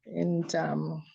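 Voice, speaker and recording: An earnest, soft-spoken woman tells her story as an interview guest and often laughs softly. Her tone is warm and mellow. She comes through a dull, lo-fi remote line. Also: fairly low pitch, creaky, husky. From Nigeria.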